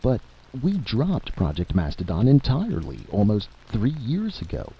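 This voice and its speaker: A man's voice: fairly low pitch, huge pitch swings, a little slow, vocal fry, slightly rough, pitched above the performer's own voice, exaggerated voice. A man voicing a troubled, incredulous president, questioning and hesitant, in a dramatic reading. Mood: troubled disbelief. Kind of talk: dramatic audiobook-style reading. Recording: dull lo-fi recording with noise.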